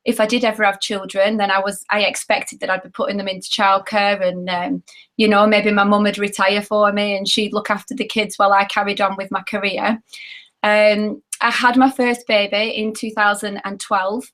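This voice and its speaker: A British woman in her thirties with a hoarse, nearly lost voice, telling her story in a chatty, candid way. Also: medium pitch, flat delivery, normal speed, a bit bright. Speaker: network marketing seller sharing her story.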